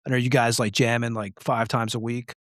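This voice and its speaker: A man hosting a podcast, asking casual interview questions in an informal, halting way. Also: medium pitch, little pitch movement, fairly bright tone, no room sound, studio quality.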